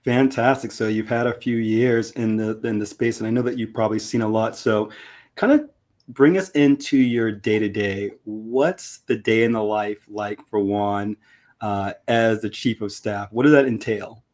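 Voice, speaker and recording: A man's voice: on the low side, steady tone, moderate pace, darkish tone. Upbeat man hosting a podcast. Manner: upbeat, friendly, with fillers. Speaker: podcast host. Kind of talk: casual livestream podcast hosting.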